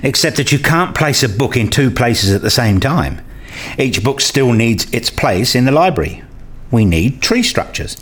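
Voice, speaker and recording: An earnest actor playing a man in a radio play, arguing his case in scripted dialogue. His mid-pitched voice moves in lively swings as he explains things insistently and didactically. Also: moderate pace, slightly rough. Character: earnest, argumentative man debating ideas with a professor.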